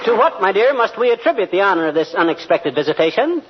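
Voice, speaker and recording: An actor playing a blustery, cocky middle-aged husband with folksy, mock-pompous talk in a 1940s radio comedy. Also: male voice, sits high, sing-song, fast, slightly rough, some chatter behind, exaggerated voice. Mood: smug. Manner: folksy slang mixed with mock-pompous wordiness. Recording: muffled vintage radio recording, noisy, studio audience.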